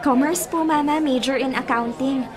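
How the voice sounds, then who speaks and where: A woman's voice: high-pitched, normal speed, a crowd in the background, lightly acted. A woman acting as an ambitious, cheeky teenage daughter in a Filipino radio drama, polite and confident. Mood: confident, playful.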